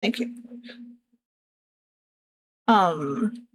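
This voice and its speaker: A young woman with a husky voice, chatting casually with her stream audience while she draws, halting often with fillers and restarts. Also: mid-range voice, expressive, slight background noise.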